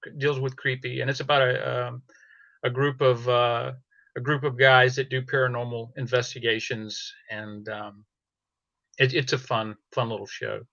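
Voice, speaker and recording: A man with a bright, forward voice at medium pitch speaks as a podcast panel guest. He chats casually and haltingly, often searching for words. The audio is dry and slightly dull. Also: level tone, vocal fry, dry recording.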